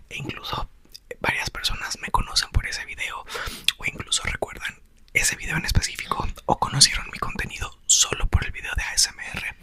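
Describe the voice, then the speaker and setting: A young man, whispering softly into a close mic as he chats about a book for ASMR. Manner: soft whisper throughout. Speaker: ASMR creator. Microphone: small mic clipped to a doll's hair.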